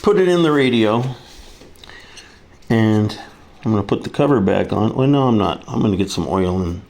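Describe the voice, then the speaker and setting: A man's voice: fairly low pitch, lively intonation, normal speed, vocal fry, darkish tone. A man giving a casual, hands-on repair demonstration, talking through each step in a folksy, informal way. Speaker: repair video presenter. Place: kitchen, indoors.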